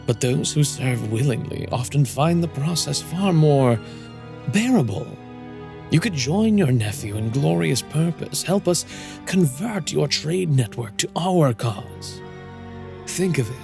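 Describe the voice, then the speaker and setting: A man voicing a sinister, cloaked cult sorcerer in a fantasy audio story, menacing and grandiose, with drawn-out phrasing. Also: a little high, lively intonation, gravelly, music in the background, voice pushed up. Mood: smooth persuasion. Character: sinister cloaked dragon-cult sorcerer with talons, coldly persuasive.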